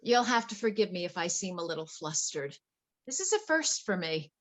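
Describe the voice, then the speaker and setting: A woman's voice: fairly low pitch, very animated, normal speed, a bit bright. A woman playing a wealthy, anxious wife in a play reading, earnest, polite and flustered. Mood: nervous, apologetic. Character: wealthy, anxious wife confiding fears about her husband.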